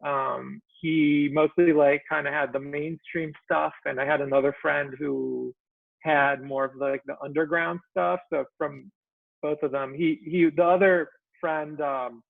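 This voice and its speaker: A man of about forty from Israel chats as a guest, halting and soft, leaning on fillers as his thoughts trail off. His voice is deeply gravelly and fried. It comes through a thin, phone-like video call with no room sound at all. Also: fairly even intonation, no echo. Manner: halting and soft-spoken, full of ums and trailing phrases. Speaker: guest cartoonist. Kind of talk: casual chat. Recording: tinny dry call audio.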